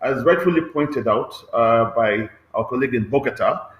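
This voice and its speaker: A man's voice: medium pitch, lively intonation, moderate pace, muffled recording. Man explaining in a roomy echo. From Kenya. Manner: adds checking "okay?" tags, earnest explaining. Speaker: transport agency head on a panel. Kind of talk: online panel discussion.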